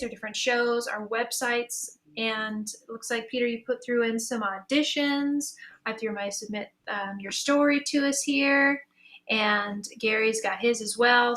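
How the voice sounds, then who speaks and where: A woman's voice: fairly high pitch, sharp tone. A woman speaking casually and earnestly on a panel as an audio drama creator, with an encouraging manner.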